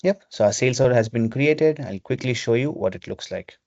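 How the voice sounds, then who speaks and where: A man presenting a webinar, explaining software step by step in an instructional way over a video call. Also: medium pitch, lively intonation, fairly quick, dry recording. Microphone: video call microphone. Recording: dull, lo-fi video call audio.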